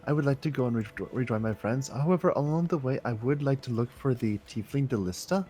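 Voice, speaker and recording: Man playing a polite, wordy, quick-talking adventurer. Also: medium pitch, animated, mellow, lightly acted. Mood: polite, earnest. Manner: courteous, long-winded, formal. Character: polite, wordy, formal male fantasy adventurer from a farm.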